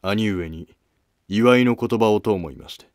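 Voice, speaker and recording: Man acting a deep-voiced, guarded imperial prince. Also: moderate pace, dry recording, clean studio sound, natural voice. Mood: guarded, stern. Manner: formal, guarded, curt. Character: guarded, dignified imperial prince and former monk. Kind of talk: scripted historical drama dialogue.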